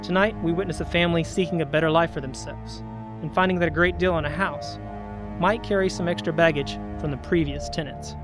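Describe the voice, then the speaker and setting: A man's voice: on the high side, steady tone, fairly quick, lightly acted. A man playing the solemn, mysterious host of a suspense audio drama, delivering scripted narration. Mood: solemn, ominous. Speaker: host of an audio anthology show. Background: music under the voice.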